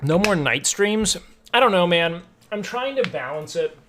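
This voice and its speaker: A man's voice: high voice, lively intonation, unhurried, fairly bright tone, faint music behind. A young man streaming and chatting casually with his viewers, rambling with lots of filler words. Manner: rambling, filler-heavy, frequent "like". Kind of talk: casual chat with chat.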